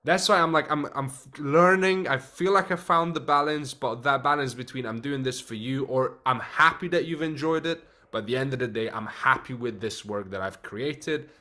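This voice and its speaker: A man in his thirties hosts a casual conversation over a video call. His voice sits in a middle register, and he talks in an animated, expressive way, acting out what people say. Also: medium pitch, moderate pace, hardly any pauses. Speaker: podcast host. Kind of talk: casual interview chat. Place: home room, indoors.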